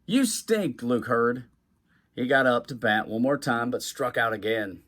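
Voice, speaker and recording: A man with a medium-pitched voice reads a children's storybook aloud. His delivery is expressive and animated, shaped for young listeners, and he acts out the dialogue as he goes. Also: measured pace. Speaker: guest reader of a children's book.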